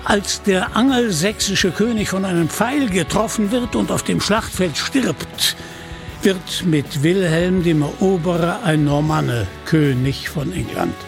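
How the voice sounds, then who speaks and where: A man narrating a scripted radio play in a measured, deliberate storytelling manner, with a husky voice. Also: sits high, animated, over music.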